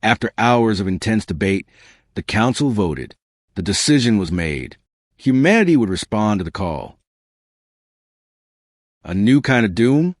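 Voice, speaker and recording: A male audiobook narrator reads science fiction in a lowish voice. His delivery is animated, with plenty of movement in pitch. The sound is dry and close, free of room. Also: a little slow, pauses often, dry recording.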